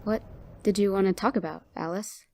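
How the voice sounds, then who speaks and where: Earnest policewoman with a mid-pitched voice woman acting. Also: fairly even intonation, a little fast, a little mellow, a little hiss or hum, lightly acted. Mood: surprised. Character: earnest, loyal young policewoman. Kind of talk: audio crime drama acting.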